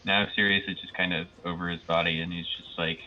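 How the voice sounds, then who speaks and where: A man with a deep voice and a very bright, sharp edge speaks as a tabletop player. He is informal and tentative as he describes his moves. The sound is a little dull. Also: little pitch movement, quick pace. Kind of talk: casual chat, narrating character's moves.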